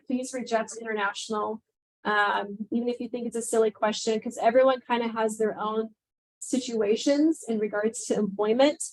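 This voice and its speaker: Brisk, higher-pitched woman advisor. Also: rough recording. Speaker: international student advisor. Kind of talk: orientation presentation.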